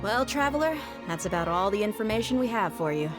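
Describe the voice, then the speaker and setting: A woman's voice: medium pitch, animated, fast talker, loud music behind the voice, lightly acted. A woman playing a tough, pragmatic young bodyguard in a video game, blunt and casual in a streetwise way. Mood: calm.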